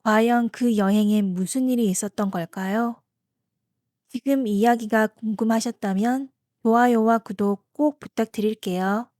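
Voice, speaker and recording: A woman's voice: fairly high pitch, steady tone, normal speed, a little mellow, dry recording, studio quality. A woman hosting, reading a scripted introduction to a story. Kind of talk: scripted narration.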